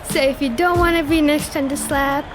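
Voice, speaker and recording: A woman's voice: sits high, fairly even intonation, normal speed, over music, lightly acted. A woman playing a sassy young fighter who teases and mocks her rivals. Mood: mocking. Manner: teasing, mocking.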